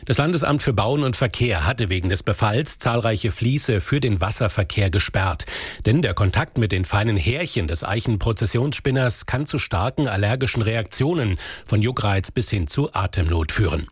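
Male newsreader, low husky voice, dry close studio sound, formal and measured. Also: lively intonation, dry recording. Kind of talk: scripted news reading.

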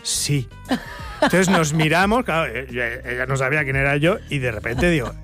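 A man's voice: medium pitch, animated, normal speed, a bit bright, faint music behind. A man co-hosting a casual podcast chat, teasing and joking with his guest as he interviews her. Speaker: podcast co-host.

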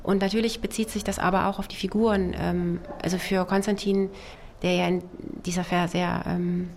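A woman novelist with Russian as her first language, raised in Germany, answering interview questions thoughtfully with many pauses, fillers and restarts. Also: fairly low pitch, little pitch movement, gravelly, darkish tone, slight background noise. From Germany, child of Soviet immigrants. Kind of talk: literary interview.

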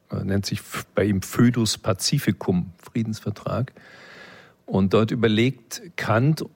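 Low-voiced professorial man. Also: darkish tone. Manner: professorial, explanatory, with tag questions. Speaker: interview guest, philosophy expert. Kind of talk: reflective, lecture-like interview answers.

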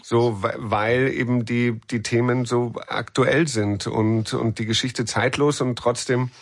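A man's voice: medium pitch, fairly even intonation, unhurried. A man from Munich talking conversationally as an interviewed film director, repeating words as he searches for phrasing. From Munich, Germany.

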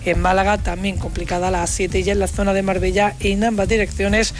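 Man, traffic reporter, listing roads, high voice, monotone, background music. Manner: rapid listing of road incidents. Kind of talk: radio traffic bulletin. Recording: music bed underneath.

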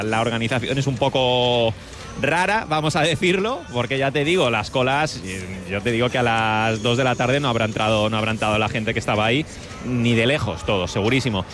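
A man's voice: mid-range voice, fairly bright tone, slight background noise. A man from Spain with a Spanish accent, reporting live for sports radio and chatting to fans. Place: outdoors at a stadium entrance.

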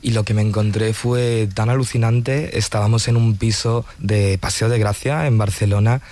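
Spanish guy, kinda low voice, studio guest, talks fluently and with emphasis. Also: steady tone, moderate pace, a little mellow. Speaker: interview guest, poet and journalist. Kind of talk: conversational storytelling. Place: radio studio.

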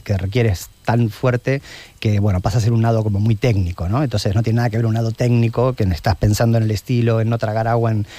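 An Argentine man in his fifties, a long-distance swimmer answering interview questions in a thoughtful, measured way, often closing points with a questioning tag. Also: lowish voice, mellow. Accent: Argentine Spanish.

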